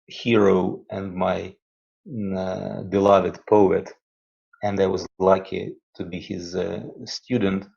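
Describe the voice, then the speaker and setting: A man with a Russian accent answers in halting English, with fillers and restarts as he gathers his thoughts. His voice sits very low and carries a rough, gravelly fry. The recording sounds dull and lo-fi. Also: flat delivery, darkish tone. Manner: halting, searching for words, many fillers. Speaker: interview guest, poet and theologian. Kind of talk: conversational interview answers.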